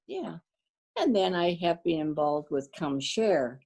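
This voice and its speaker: Low-voiced older woman reminiscing. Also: sing-song, vocal fry. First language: Danish. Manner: warm storyteller, halting with fillers and restarts. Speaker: guest. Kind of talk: casual reminiscing interview.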